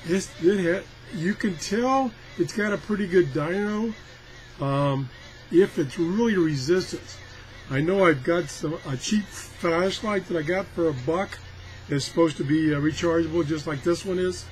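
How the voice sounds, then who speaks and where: A man with a slightly high voice chats his way through a hands-on demo. He rambles in a folksy, self-deprecating way, as casual as can be. There is some noise behind him. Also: a little slow, darkish tone, poor audio. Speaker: product tester presenting a radio review.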